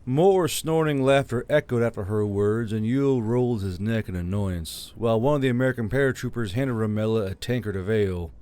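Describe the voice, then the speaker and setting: A man's voice: on the low side, a little fast, hardly any pauses, mellow, clear, resonant tone. A man reading scripted fiction as an audiobook narrator.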